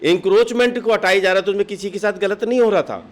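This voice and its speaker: A man's voice: sits high, fast. A man giving impassioned interview answers as a political official, insistent and argumentative, often firing off rhetorical questions. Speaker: political party district official being interviewed.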